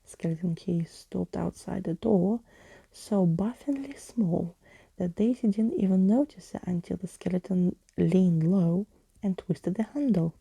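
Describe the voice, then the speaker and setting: A woman narrating a storybook in a soft, deliberate ASMR whisper. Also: on the low side, gravelly, breathy edge, no room sound, poor audio. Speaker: ASMR host reading a story aloud.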